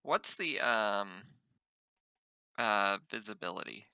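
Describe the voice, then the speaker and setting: A man's voice: lowish voice, lively intonation, slow, gravelly, sharp tone, clean tone, no room sound, clean studio sound. A man chatting casually as a player in an online tabletop game. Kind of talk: casual chat. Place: online voice call.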